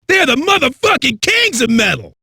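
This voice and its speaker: An actor playing a cocky, crude, manic metal radio DJ with a raspy, shouty delivery. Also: male voice, very high-pitched, wildly swooping pitch, moderate pace, no room sound, studio quality, heavily acted. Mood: hyped, brash. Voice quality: raspy, gravelly. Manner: brash, profane, shouty. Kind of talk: hard rock radio DJ patter.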